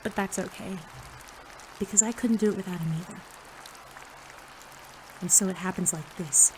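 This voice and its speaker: A woman's voice: medium pitch, normal speed, crowd noise, noisy background, lightly acted. A woman playing a cocky, earnest teenage rookie superhero who acts and narrates in an audio drama. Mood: earnest narrating. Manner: excitable, sarcastic, earnest in narration. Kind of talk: audio drama acting and first-person narration.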